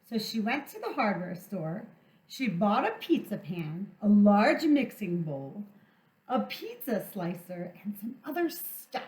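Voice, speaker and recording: A woman reading a storybook aloud to young children as a storytime teacher, theatrical and deliberate, drawing out words for emphasis. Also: mid-range voice, very animated, darkish tone. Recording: echoey room sound.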